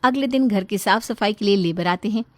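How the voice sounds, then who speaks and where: A woman's voice: mid-range voice, a little fast, dry recording. A woman narrating a scripted story.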